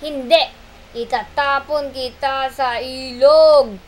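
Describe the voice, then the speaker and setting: A woman's voice: high-pitched, steady tone, slow. A woman voicing a greedy, mean monkey in an amateur puppet show, blustering and threatening, whining when in trouble. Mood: scheming, blustering.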